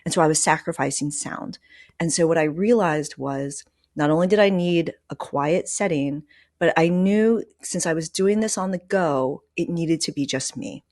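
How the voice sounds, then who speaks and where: Low-key dry recording, woman podcast host. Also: normal speed, darkish tone, dry recording. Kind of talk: conversational podcast interview. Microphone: microphone plugged into a laptop.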